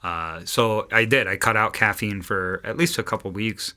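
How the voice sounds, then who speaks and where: A man co-hosting a podcast in casual chat, with a loud voice, rambling on and swearing freely. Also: on the low side, moderate pace. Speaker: podcast co-host and singer.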